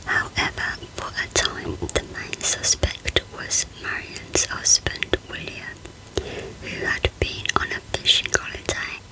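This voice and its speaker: A woman hosting a true crime channel, reading out a case in a hushed ASMR whisper. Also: slow, poor audio.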